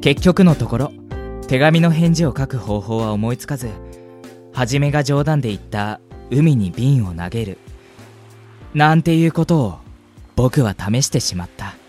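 A man playing a gentle, wistful young university student who narrates a radio drama in an earnest, reflective way. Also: medium pitch, lively intonation, normal speed, faint music behind, lightly acted. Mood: earnest, wondering. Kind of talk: radio drama acting with first-person narration.

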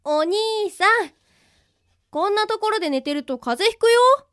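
Woman playing a very high-pitched coaxing girl. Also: expressive, normal speed, a bit bright, dry recording, clean studio sound. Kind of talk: radio drama acting.

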